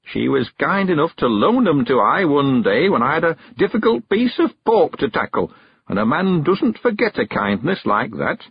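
A man's voice: sing-song, gravelly, breathy edge, dry recording, muffled recording, heavily acted. A man reading an audiobook, voicing a cheerful, stubborn ninety-one-year-old countryman with a rustic dialect. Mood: chatty. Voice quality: rustic dialect, quavery and high, drawn-out words.

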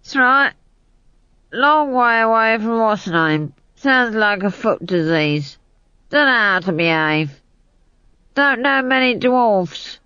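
A woman's voice: fairly high pitch, lively intonation, pushed and bright, clean tone, no echo, pitched above the performer's own voice, heavily acted. A woman voicing a drunk, cheeky young man who slurs, rambles and gropes for words. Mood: drunken. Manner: slurred, halting, searching for words. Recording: slightly dull, dry recording.

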